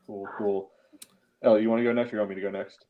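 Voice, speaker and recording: A man's voice: lowish voice, little pitch movement, fast talker. A man co-hosting a sports podcast in casual post-game chat, on a slightly dull lo-fi recording. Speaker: podcast co-host.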